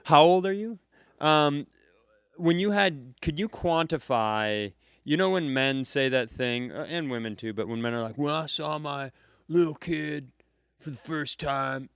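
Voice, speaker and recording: A man's voice: a little high, clean tone, no echo, studio quality. A man co-hosting a live comedy podcast, rambling as he asks playful, needling questions. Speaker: podcast host. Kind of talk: casual comedic chat.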